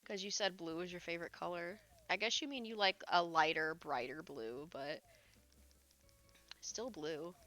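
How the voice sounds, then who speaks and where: A young woman with a hoarse voice, chatting casually with her live audience while she plays a game, often laughing and reading comments aloud. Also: on the low side, a little slow, bright, forceful tone, faint music behind. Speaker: live streamer. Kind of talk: casual chat while gaming.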